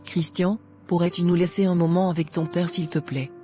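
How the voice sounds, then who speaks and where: Woman doing a sorry mom coming home, soft and mellow, apologizing. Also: lowish voice, level tone, normal speed, faint music behind, close to an everyday voice. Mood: contrite. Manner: soft, contrite. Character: repentant mother returning to her family.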